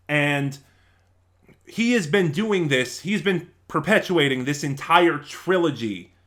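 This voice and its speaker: A man giving casual review commentary as an online reviewer, in a halting way, often rephrasing mid-sentence. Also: mid-range voice, fairly bright tone.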